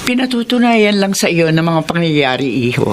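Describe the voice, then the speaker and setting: A woman's voice: lowish voice, expressive, normal speed, a little hiss or hum, lightly acted. An actress playing a kindly, encouraging middle-aged mother, reassuring in manner, in a Filipino radio drama. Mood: fond, teasing.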